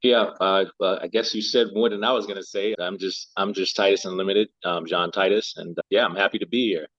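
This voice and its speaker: A man's voice: low voice, steady tone, brisk, fairly bright tone, no room sound, lo-fi sound. A middle-aged man from Liberia, a health and lifestyle coach, answering interview questions thoughtfully and often repeating words as he searches for them.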